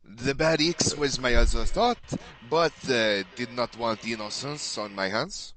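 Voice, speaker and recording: Man putting on bright, sly adventurer. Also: mid-range voice, slight background noise, natural voice, poor audio. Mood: scheming. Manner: halting, stagey phrasing with French interjections.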